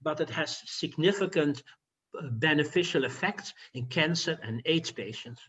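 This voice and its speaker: An older man with a Dutch accent, a physician giving an online lecture, talking in a halting way with many fillers and restarts. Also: fairly high pitch, expressive, a bit bright. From Netherlands. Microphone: video call.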